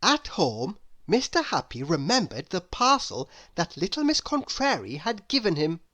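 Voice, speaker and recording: A woman reading a children's story aloud with deliberate, expressive narration. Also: lowish voice. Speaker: storybook reader.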